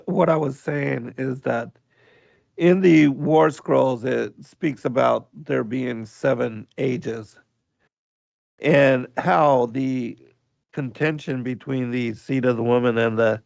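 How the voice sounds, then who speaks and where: Hoarse, gravelly man hosting a livestream. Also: medium pitch, darkish tone, no echo, dull audio. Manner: slow, halting, with many pauses and fillers. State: hoarse, voice going out. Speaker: radio show host. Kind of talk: livestream radio talk, reading chat.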